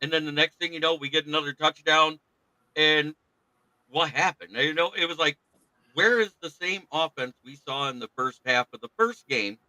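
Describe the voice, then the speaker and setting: A man's voice: fairly high pitch, moderate pace, pushed and bright, dry recording, poor audio. A man hosting a casual sports talk show, chatty and laughing often.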